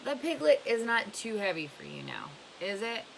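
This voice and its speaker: A woman's voice: mid-range voice, sing-song, normal speed, gravelly, fairly bright tone, slight background noise, exaggerated voice, poor audio. A woman reading a storybook as a blunt, scornful old woman who gives emphatic advice. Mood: firm, instructive. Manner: emphatic, questioning, instructive.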